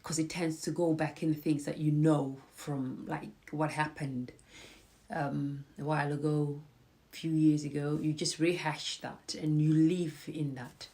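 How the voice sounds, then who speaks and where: A woman's voice: sits low, level tone, unhurried, warm tone. A woman hosting a casual live stream, chatting to viewers and encouraging them, with a slight cold in her voice. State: has a cold. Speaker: host.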